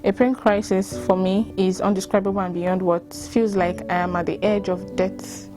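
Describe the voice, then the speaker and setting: A woman's voice: medium pitch, level tone, normal speed, darkish tone. A woman with a Nigerian accent, reading accounts aloud and responding to them informally. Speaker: volunteer reader reacting to written accounts. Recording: music bed under the voice. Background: music.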